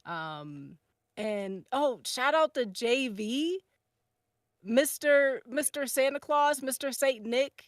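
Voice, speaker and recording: A woman's voice: high-pitched, expressive, slow pace, no room sound, studio quality. A woman on a podcast panel, chatting casually and appreciatively in a hesitant, rambling way. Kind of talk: casual group chat.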